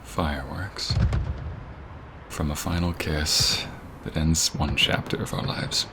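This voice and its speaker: Man acting a very low-voiced, tender boyfriend. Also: steady tone, slow, noisy background. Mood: celebratory, loving. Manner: intimate, earnest, often hushed and breathy. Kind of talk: ASMR romantic boyfriend roleplay.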